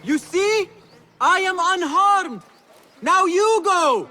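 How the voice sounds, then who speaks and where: An actor playing an earnest, kind and modest young assassin in a video game cutscene. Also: male voice, extremely high pitch, expressive, slow, bright, forceful tone, slight background noise, full character voice. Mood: encouraging shouts.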